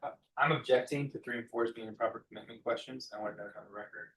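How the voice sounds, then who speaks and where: A man's voice: medium pitch, very fast, fairly bright tone, a little hiss or hum, rough recording. A man acting as defense attorney, raising objections in court.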